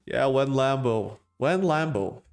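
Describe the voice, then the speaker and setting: A man's voice: medium pitch, lively intonation, slow pace. A man with a non-native English accent hosting a casual crypto live stream, joking and rambling as he answers chat. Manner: rambling, joking, with laughs and restarts.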